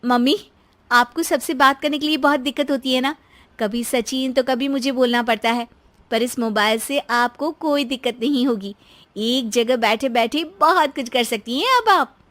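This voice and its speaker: High-pitched, exasperated young daughter-in-law. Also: female voice, moderate pace, a bit bright. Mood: cheerful. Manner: dramatic, whiny complaining. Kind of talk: acted dialogue in animated moral story.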